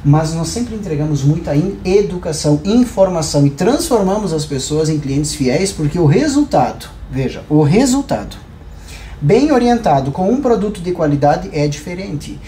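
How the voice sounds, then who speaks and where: A man's voice: fairly high pitch, big pitch swings, moderate pace, dark tone. A man with a southern Brazilian accent in a podcast conversation, explaining things through examples and rhetorical questions. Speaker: podcast guest, hair professional. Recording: roomy echo, clear background noise.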